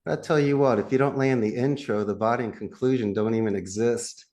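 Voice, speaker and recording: Man, Philadelphia accent, podcast guest, punchy sales talk, big pitch swings, laughs a lot. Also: a little fast, a little mellow. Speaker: podcast guest, call center CEO. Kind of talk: animated interview answers.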